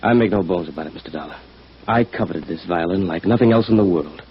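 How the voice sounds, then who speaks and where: An actor playing a subdued, earnest male musician with a hushed, halting delivery in 1950s radio drama. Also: sits low. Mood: hushed longing. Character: subdued, intense male musician who covets violins. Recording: noisy, muffled old radio recording.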